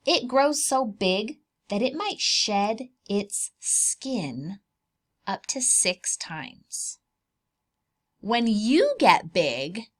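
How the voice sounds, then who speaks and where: A woman's voice: mid-range voice, fairly bright tone. A woman preschool teacher reading aloud to young children, explaining things simply, asking questions and drawing out key words.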